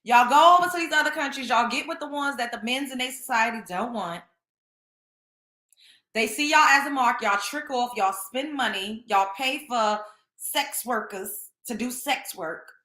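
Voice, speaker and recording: Blunt, bright-voiced woman host. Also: on the high side, moderate pace, reverberant. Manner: blunt, scolding, emphatic, repeats points. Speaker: show host. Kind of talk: opinionated commentary monologue.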